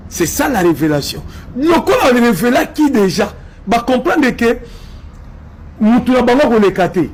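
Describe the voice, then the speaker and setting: Emphatic high-pitched Congolese man. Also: very animated, normal speed, slight background noise. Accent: Congolese French. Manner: emphatic, repetitive, mixes in Lingala. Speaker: political commentator. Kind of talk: impassioned political commentary.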